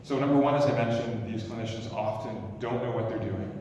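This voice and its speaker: A man in his thirties, an emergency doctor, giving a loud, energetic startup pitch in a lecture hall with frequent restarts. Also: a little fast, slight background noise. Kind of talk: pitch presentation. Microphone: stand mic, recorded from far camera. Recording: echoey lecture hall from far off.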